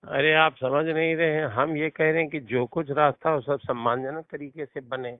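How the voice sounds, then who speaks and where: A man's voice: on the high side, fairly even intonation, normal speed, no room sound. A man in a private recorded phone conversation, talking in a defensive, placating manner. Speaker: caller, politician. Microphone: phone line. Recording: tinny, band-limited recorded phone call.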